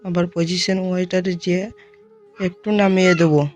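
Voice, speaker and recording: A tutorial host narrating software steps one by one, speaking informally to viewers as friends. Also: mid-range voice, level tone, brisk, faint music behind.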